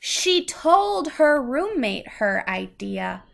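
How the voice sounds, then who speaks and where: Female read-aloud storyteller, higher-pitched with a creaky edge, patient and simple in phrasing for a young child. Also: big pitch swings, takes it slowly.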